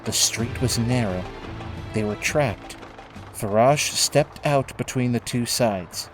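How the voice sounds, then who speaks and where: A man narrating a scripted dramatic story in a measured, solemn way, with music behind him. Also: medium pitch. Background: prominent music.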